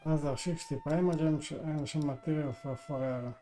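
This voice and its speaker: A man live-streaming a game with casual commentary, muttering to himself in halting phrases. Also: fairly high pitch, fairly even intonation, warm tone. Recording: game music playing behind. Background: video game music.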